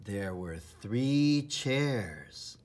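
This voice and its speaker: A man reading a children's storybook aloud with enthusiastic, theatrical emphasis and dramatic pauses in a husky, breathy voice. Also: fairly low pitch, lively intonation, darkish tone, a little hiss or hum. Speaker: storybook reader. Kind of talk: children's read-aloud narration.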